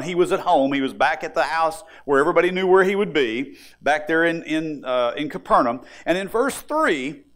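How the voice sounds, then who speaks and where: A man preaching a sermon, moving between folksy, conversational storytelling and Bible teaching, with dramatic pauses. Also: on the high side, fairly bright tone.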